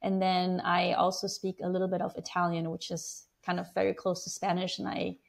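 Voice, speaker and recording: A woman in her forties from Germany, a business executive answering interview questions in a measured, thoughtful way, speaking through earphones on a video call. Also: lowish voice, monotone, gravelly. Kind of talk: conversational interview. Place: home room with slanted ceiling window, indoors. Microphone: wired earphone mic on a video call.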